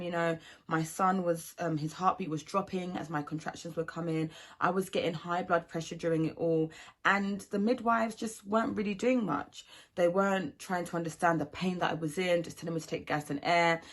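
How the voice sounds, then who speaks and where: A young woman from Ghana, a mother giving a personal testimony of her birth story, speaking earnestly and steadily with frequent ums. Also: lowish voice.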